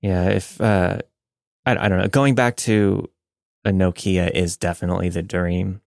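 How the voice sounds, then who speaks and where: Male podcast co-host, American, low gravelly voice, casual banter, close dry sound. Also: fairly even intonation, a little slow, a little mellow, dry recording.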